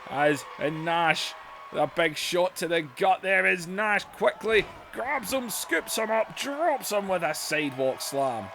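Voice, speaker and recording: An excitable man calls a wrestling video game match in a high voice, drawn out and unhurried between bursts of shouting. His voice is wearing hoarse, and a faint hiss of noise sits under the recording. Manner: excitable, shouts and laughs at big moves. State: voice going hoarse.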